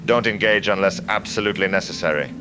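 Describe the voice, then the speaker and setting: A man playing a disillusioned middle-aged mercenary soldier giving grim, measured testimony in an audio drama. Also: deep voice, background noise, lightly acted. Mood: grim, bitter. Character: weary disillusioned male mercenary soldier, bitter and defiant. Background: background music.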